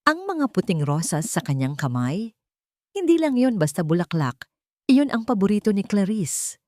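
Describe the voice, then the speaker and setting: A woman narrating a romance drama story in a measured, dramatic storytelling manner. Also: lowish voice, a little mellow, dry recording, clean studio sound.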